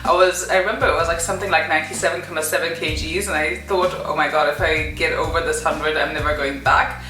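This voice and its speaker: A woman's voice: low-pitched, fairly even intonation, fast, pushed and bright, reverberant, faint music behind, low-quality recording. A young woman from Zimbabwe telling her story in a chatty vlog, full of fillers. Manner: chatty, many fillers and "kind of". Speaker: vlogger.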